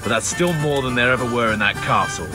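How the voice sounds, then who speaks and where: Defiant young bandit, played by a man, loud music. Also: mid-range voice, brisk, close to an everyday voice. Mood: scornful. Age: young adult. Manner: scornful, defiant. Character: defiant young bandit prisoner, fiercely loyal to his master. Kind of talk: video game cutscene acting.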